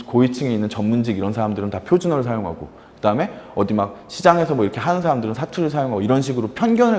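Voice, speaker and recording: A man's voice: mid-range voice, a little mellow, big-room sound. A man teaching fiction writing in a casual lecture, full of fillers and acted-out example lines.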